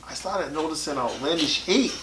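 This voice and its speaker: A man in his late thirties in casual podcast chat, loud and animated, swearing freely and often bursting into shouts. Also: fairly high pitch, moderate pace. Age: 30s. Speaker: podcast co-host. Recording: echoey room with faint noise.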